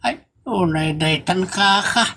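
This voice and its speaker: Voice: mid-range voice, takes it slowly, bright, forceful tone. A performer with an Ilocano accent playing an anxious, scolding elderly father in a comedy drama, worried and admonishing.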